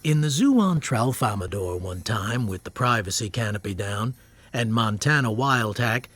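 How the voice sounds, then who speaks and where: An actor playing a wry, matter-of-fact storyteller who narrates a radio drama. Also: male voice, lowish voice, expressive, moderate pace, a bit bright, slightly rough.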